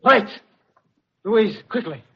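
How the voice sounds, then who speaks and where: Earnest, fairly high-voiced male sweetheart. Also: expressive, takes it slowly, gravelly, exaggerated voice. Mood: alarmed. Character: earnest, bold male sweetheart and amateur investigator. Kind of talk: 1940s radio drama acting. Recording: noisy muffled vintage radio recording.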